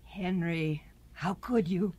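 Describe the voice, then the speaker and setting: A woman playing a sharp-tongued, aggrieved wife in a radio drama, scolding in a clipped, exasperated way. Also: fairly low pitch, slow, breathy edge. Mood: furious, scolding.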